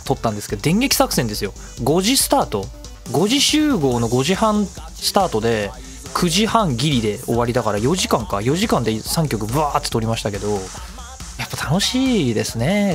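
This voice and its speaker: A man hosting a casual solo web radio show in speech, chatty and given to acting out imagined remarks. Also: a little high. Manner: talkative, acts out imagined remarks mid-talk.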